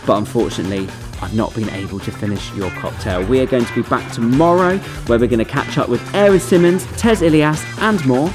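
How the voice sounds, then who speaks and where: A man's voice: medium pitch, fairly quick, hardly any pauses, music in the background. Playful male radio host. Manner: playful, upbeat. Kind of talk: casual chat. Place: festival radio base.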